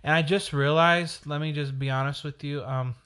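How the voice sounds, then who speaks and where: A man hosting his show solo, talking in a rambling, chatty way and correcting himself, his voice raspy from a cold. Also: on the high side, steady tone, normal speed, a bit bright. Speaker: podcast host. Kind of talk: casual solo chat.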